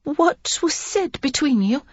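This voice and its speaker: A narrator voicing a shy, timid young noblewoman, speaking hesitantly and softly. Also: high voice, very animated, slow, dry recording, highs cut off, pitched above the performer's own voice, exaggerated voice. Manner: hesitant, soft, breathy. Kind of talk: audiobook character voice.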